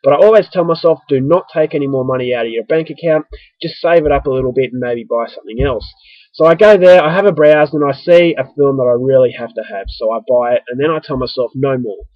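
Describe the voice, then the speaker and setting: A man's voice: medium pitch, fast talker, no room sound. A man with an Australian accent talks to camera in a loose, joking vlog, his voice a little stuffy as if he is getting a cold. The sound is dry and slightly dull, like a modest home recording. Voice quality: chatty, self-mocking, rambling. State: stuffy with early flu. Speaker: vlogger. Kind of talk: casual vlog talking to camera.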